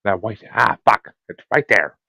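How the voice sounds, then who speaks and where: A man co-hosting a podcast in casual chat, halting and searching for words, with some swearing. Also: on the low side, vocal fry, pushed and bright, no room sound, clean studio sound. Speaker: podcast co-host.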